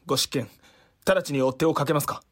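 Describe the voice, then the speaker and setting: Man playing an urgent, husky samurai retainer. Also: on the high side, quick pace, close to an everyday voice. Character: urgent, deferential samurai retainer reporting to regent. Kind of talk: Japanese period audio drama acting.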